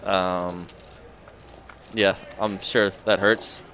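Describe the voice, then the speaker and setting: A man's voice: sits low, little pitch movement, very slow, bright, forceful tone, slight background noise. An American man doing casual live poker commentary, chatting in a laid-back, colloquial way. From Florida, United States. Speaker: regular poker stream commentator.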